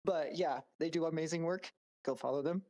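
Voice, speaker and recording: A man's voice: on the high side, brisk, mellow. A man who works as a voice actor, casually introducing himself to a group.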